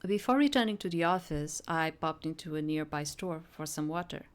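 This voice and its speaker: Low-pitched woman playing a visual novel. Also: lively intonation, brisk. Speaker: game streamer. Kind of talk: let's-play reading and casual commentary. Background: game music.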